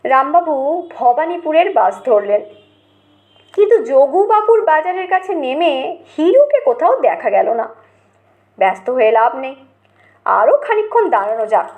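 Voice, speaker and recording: High-pitched woman narrating a comic story. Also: very animated, normal speed, long pauses, a little mellow.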